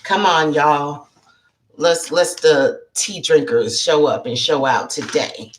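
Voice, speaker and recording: A woman's voice: sits low, slow, a little hiss or hum. A woman hosting a casual live-stream chat as a psychic life coach, her voice froggy from allergies, chatty and greeting viewers by name.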